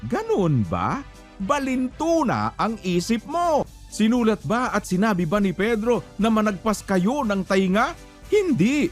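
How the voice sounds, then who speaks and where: Animated high-voiced man preaching. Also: a little slow, faint music behind. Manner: admonishing, emphatic. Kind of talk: religious exhortation with rhetorical questions and scripture quotes.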